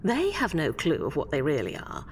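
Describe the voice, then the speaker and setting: A clinical female official in a radio drama, frustrated and matter-of-fact. The voice is on the low side, with clear noise behind it. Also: huge pitch swings, normal speed, far from the mic, full character voice. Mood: puzzled, frustrated.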